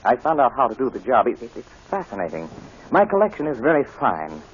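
A man's voice: mid-range voice, huge pitch swings, fairly quick, slight background noise. A man playing a suave, arrogant, threatening big game hunter in 1940s radio drama. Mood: gloating. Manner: suave, mock-polite, threatening. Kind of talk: 1940s radio villain acting. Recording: muffled old radio recording.

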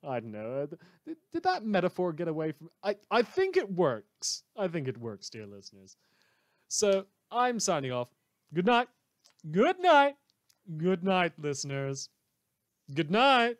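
A man playing an unhinged, sardonic radio host who delivers halting, wordy monologues in a theatrical manner. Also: sits high, wildly swooping pitch, no echo, clean studio sound, voice pushed up, heavily acted. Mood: manic, gleeful. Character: rambling, unhinged male radio host in a superhero world. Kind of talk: satirical radio news monologue.

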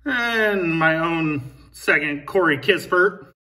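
A man's voice: a little high, animated, slow, bright, forceful tone, distant mic. A man in his thirties co-hosting a card-opening show, making dry, self-mocking jokes as he reveals his cards. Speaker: co-host opening card packs. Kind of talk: casual card-opening chat. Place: indoor room at a table.

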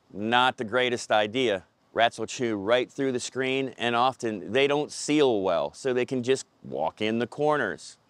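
A man's voice: mid-range voice, clear, resonant tone, dry recording. A man in his fifties delivering scripted, plain-spoken instructional advice to camera as a pest control pro, outdoors on a lapel mic. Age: 50s. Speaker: pest control company representative. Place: outdoors in a parking lot beside a pickup truck. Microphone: clip-on lapel mic.

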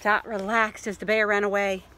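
A middle-aged woman reading a picture book aloud to children outdoors in an expressive way, pausing to ask questions. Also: medium pitch, background noise. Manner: expressive, asks listeners questions. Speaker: library story reader. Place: outdoors in a leafy yard.

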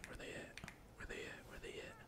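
A person whispering casual, slangy, sweary gaming commentary in a breathy, unvoiced ASMR style. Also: brisk. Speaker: gaming video creator. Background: computer keyboard typing.

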